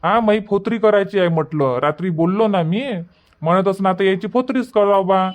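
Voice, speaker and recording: A man's voice: high voice, moderate pace, lightly acted. A man voicing an exasperated cartoon village husband, blunt and scolding, with a Varhadi Marathi accent. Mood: irritated. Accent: Varhadi Marathi from Vidarbha. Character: exasperated village husband, protective of his sister. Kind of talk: dubbed cartoon comedy dialogue.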